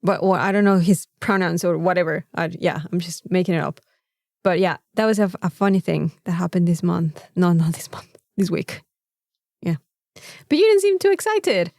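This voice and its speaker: A woman with a Mexican accent and Spanish as her first language, chatting casually as a podcast co-host and telling stories with quick interjections. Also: lowish voice, animated, gravelly, a little mellow, no echo, clean studio sound. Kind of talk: casual chat.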